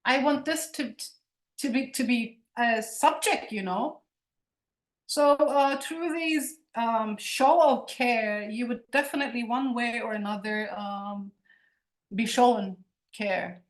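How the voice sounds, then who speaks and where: A woman's voice: on the high side, slow pace. A woman in her forties from Cyprus, a native Turkish speaker with a Turkish Cypriot accent, giving an online lecture in a conversational way. She speaks earnestly and emphatically, often repeating words as she searches for them. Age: 40s. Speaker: guest lecturer. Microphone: video call.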